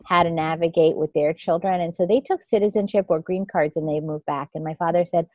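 A woman in her fifties from India tells her life story as an interview guest. Her voice sits a little low, and she winds through her memories with many restarts. The sound is close and dry. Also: steady tone, a little fast, a little mellow, no echo, highs cut off. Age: 50s. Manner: reflective, rambling, with frequent restarts.

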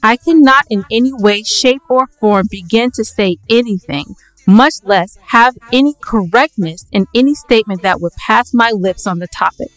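A woman's voice: on the high side, moderate pace, dry recording, low-quality recording. A woman co-hosting a podcast in a casual, playful, teasing way. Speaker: podcast co-host. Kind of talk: casual banter between co-hosts.

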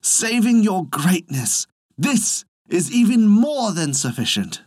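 A storyteller voicing a poor, pious, excitable peasant man who exclaims in old-fashioned storybook phrases. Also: medium pitch, slow pace, no echo, clean studio sound, pitched above the performer's own voice. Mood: frightened. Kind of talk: storybook reading.

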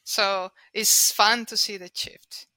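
A woman's voice: medium pitch, fairly even intonation, slow, bright, forceful tone, no room sound, low-quality recording. A woman from Colombia whose first language is Spanish, speaking English with a Colombian accent as an interview guest. She talks emphatically and often repeats words as she restarts. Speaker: guest, data and analytics leader. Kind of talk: conversational interview.

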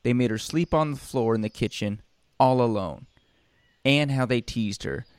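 A man reading a storybook and breaking off into casual, rambling, deadpan joking asides. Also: mid-range voice, moderate pace, no room sound. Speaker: storybook reader and comedian.

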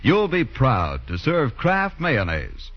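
Lively American man announcing on vintage radio. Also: medium pitch, slow. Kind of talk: 1940s radio announcing, scripted. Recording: muffled 1940s radio recording. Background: music.

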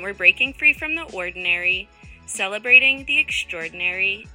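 Upbeat bright woman podcast host. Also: mid-range voice, poor audio. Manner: upbeat, slows to a calm guiding pace. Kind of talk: scripted intro then guided breathing.

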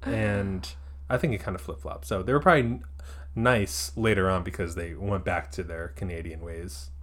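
A man co-hosting a podcast, chatting casually about a movie in an animated, joking way. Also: low-pitched, moderate pace. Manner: animated, joking, slipping into silly voices. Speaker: podcast co-host. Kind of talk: casual comedic movie review chat.

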